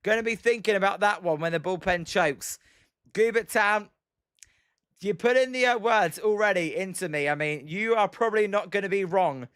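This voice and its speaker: A young British man doing casual play-by-play of a baseball game on a live stream, answering chat, his voice a little croaky and full of "um"s. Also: high-pitched, normal speed, a bit bright, no echo, clean studio sound. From Britain. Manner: rambling, many "um"s and "as" fillers. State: slightly croaky from daily streaming.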